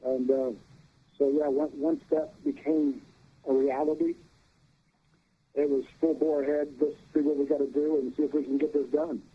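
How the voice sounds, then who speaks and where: A man with a husky voice giving team remarks to the press over a tinny phone line. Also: a little high, level tone, moderate pace.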